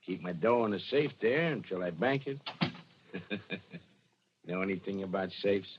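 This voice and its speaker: An actor playing a brash, mocking middle-aged American racketeer in 1940s radio style, talking tough and slangy. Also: male voice, sits low, expressive, normal speed. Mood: jovial, expansive. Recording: dull, noisy old radio recording.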